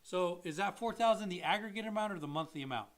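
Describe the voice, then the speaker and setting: A man with a high voice co-hosts a casual mortgage talk show. He explains loan types to viewers in an emphatic way, repeats his key points, and throws in blunt asides. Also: fast. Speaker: mortgage loan officer co-host. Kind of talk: casual explanatory chat, answering viewer questions.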